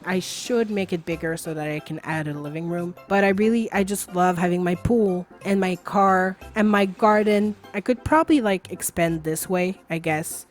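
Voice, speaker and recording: A woman chatting casually and rambling over gameplay, with lots of filler words and small laughs, while losing her voice. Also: on the low side. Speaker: video creator.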